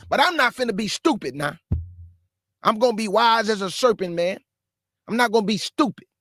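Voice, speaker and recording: High-voiced man scolding on his show. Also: sharp tone, no echo, clean studio sound. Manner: scolding, slangy, emphatic. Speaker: show host. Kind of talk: reaction commentary.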